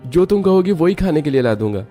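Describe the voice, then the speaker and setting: A man's voice: a little high, big pitch swings, fairly quick, warm tone, soft background music. A man voicing an apologetic young husband in a family cartoon, placating and earnest. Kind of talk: Hindi family cartoon voice acting.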